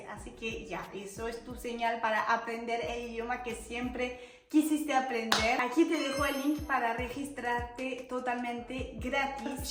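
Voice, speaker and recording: A woman's voice: on the high side, reverberant. A French woman in her twenties, a native French speaker, chatting casually to camera in Spanish with an Argentine accent and playful slang. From France. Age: 20s. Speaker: vlogger.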